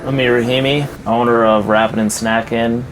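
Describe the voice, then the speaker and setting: A man's voice: mid-range voice, level tone, moderate pace, very noisy. A man from Los Angeles, talking casually in an interview as a collector. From Los Angeles, California. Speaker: music collector and shop owner being interviewed.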